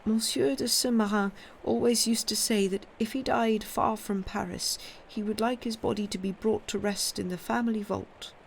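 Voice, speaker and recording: Reader doing an old widowed marchioness: high, shaky, tearful, storybook period drama. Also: steady tone, normal speed, vocal fry, hardly any pauses, a little hiss or hum, natural voice, poor audio. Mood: grief-stricken. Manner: high, trembling, tearful delivery. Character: grieving elderly noblewoman, widowed, dazed. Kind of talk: audiobook character voice.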